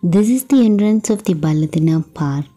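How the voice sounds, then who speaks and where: A woman's voice: fairly low pitch, expressive, brisk, warm tone, slightly rough, faint music behind. A woman vlogger narrating a farm trip in a plain voice-over, explaining each stop simply.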